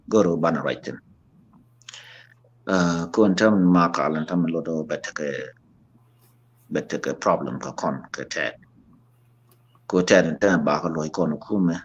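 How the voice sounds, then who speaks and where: Very deep-voiced male guest, lo-fi. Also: darkish tone, slightly rough. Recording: dull lo-fi audio.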